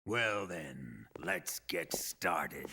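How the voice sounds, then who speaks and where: A low, gravelly sadistic military interrogator from a cinematic game. He draws his words out slowly and swings widely in pitch, gloating with theatrical menace. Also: huge pitch swings, bright, forceful tone, slight background noise, heavily acted. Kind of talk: cinematic video game acting.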